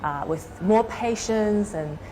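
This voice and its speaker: A woman's voice: mid-range voice, slow, dark tone, slight background noise. A woman hosting a talk show, asking casual interview questions in a hesitant, pieced-together way.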